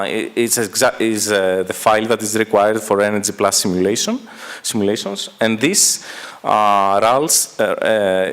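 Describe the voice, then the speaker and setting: Halting male presenter in a hall. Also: fairly low pitch. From Greece. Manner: halting, many filler "uh"s and restarts. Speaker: conference presenter. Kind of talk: technical conference presentation. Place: large dark lecture hall stage. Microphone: handheld microphone held at a distance.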